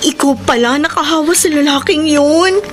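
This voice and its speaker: Actress as gossipy club hostess friend, Filipino radio drama: high voice, blunt, exclamatory, music underneath. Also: female voice, normal speed, natural voice. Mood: shocked, curious. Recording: music behind the voice.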